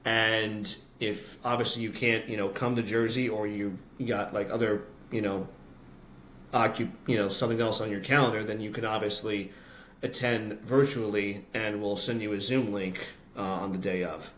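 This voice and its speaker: A man's voice: fairly low pitch, steady tone, moderate pace, fairly bright tone. An American man talking conversationally as an interview guest, telling personal stories. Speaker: interview guest, announcer and voice-over artist. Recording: roomy echo, noticeable background noise.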